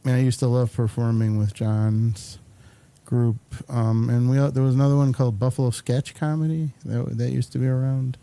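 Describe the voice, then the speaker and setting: A man hosting a casual talk show, speaking in a hesitant, halting way. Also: mid-range voice, fairly even intonation, creaky, mellow. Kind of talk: casual conversational interview.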